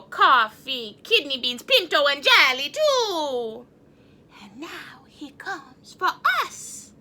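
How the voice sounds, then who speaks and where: A woman voicing an excitable, indignant little male chihuahua in a storybook, reciting emphatically. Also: high voice, full character voice.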